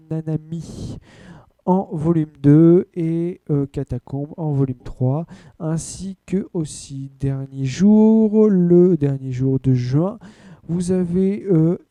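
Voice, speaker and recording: A man's voice: on the high side, dark tone, no echo. A man hosting an anime and manga podcast in a casual, blunt way, rambling through news with lots of filler words. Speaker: podcast host. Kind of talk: casual news rundown and rant.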